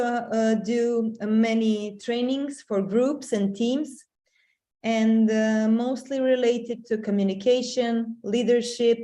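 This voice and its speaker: Slow, hesitant middle-aged woman mentor. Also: fairly high pitch, warm tone. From Serbia. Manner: slow, hesitant with many fillers. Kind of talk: webinar presentation. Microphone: video call.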